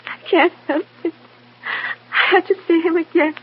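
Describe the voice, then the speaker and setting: An actress playing a timid, grieving young widow, fragile and pleading, in mid-century radio drama style. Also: female voice, very high voice, fairly even intonation, moderate pace. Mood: tearful. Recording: muffled, noisy old radio recording.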